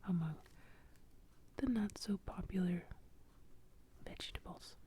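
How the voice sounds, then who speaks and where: A woman telling an improvised bedtime story in a soft ASMR whisper. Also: fairly low pitch, slow pace.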